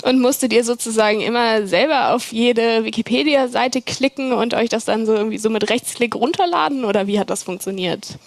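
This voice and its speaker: A woman's voice: a little high, brisk, hardly any pauses, no room sound. A woman moderating a youth event, chatty and rambling with lots of fillers. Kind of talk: casual stage moderation.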